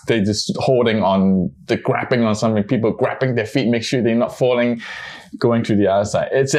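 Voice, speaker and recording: A middle-aged man from Hong Kong, a photojournalist speaking in an interview, halting and searching for his words with many restarts. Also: mid-range voice. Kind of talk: interview, personal reflection.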